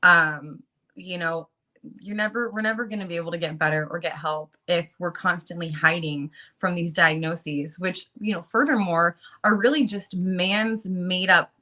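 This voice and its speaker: Woman, late twenties, bright lowish voice, earnest and halting, lots of fillers, just out of bed. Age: late 20s. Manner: earnest and halting, with many ums and you-knows. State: just woke up. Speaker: guest speaker on a channel about fighting child protective services. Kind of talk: casual talk, partly reading resources aloud.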